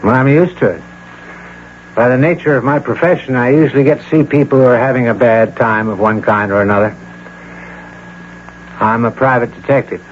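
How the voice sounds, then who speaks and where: A man's voice: mid-range voice, a little fast, pauses often, close to an everyday voice. An actor playing a polite, worldly male private detective with a dry, matter-of-fact manner in a radio drama. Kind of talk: 1980 radio drama acting. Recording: dull, hissy old radio broadcast.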